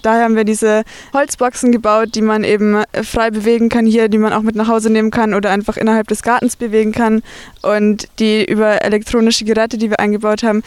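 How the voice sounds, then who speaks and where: A young woman from Bavaria, an architecture student, calmly explaining her project outdoors. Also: fairly high pitch, a little fast, clear, resonant tone, dry recording. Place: outdoors in a garden.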